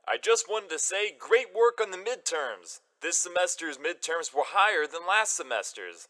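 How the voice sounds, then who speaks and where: A man's voice: high voice, big pitch swings, normal speed, bright, forceful tone, exaggerated voice. A man playing a formal, encouraging school principal making an announcement in an audio drama.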